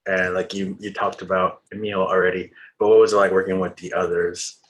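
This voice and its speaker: A man's voice: low voice, level tone, fairly quick, a bit bright. A man interviewing an actress over a video call, asking polite questions with some hesitation.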